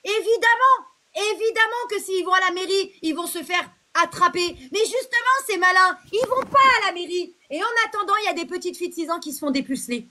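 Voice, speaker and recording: A woman with a very high, piercing voice argues hard in a heated live debate. She talks bluntly and crudely, cuts in constantly and will not give ground. Her tone is bright and tense throughout. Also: a little fast. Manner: forceful, interrupting, blunt and crude. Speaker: livestream host.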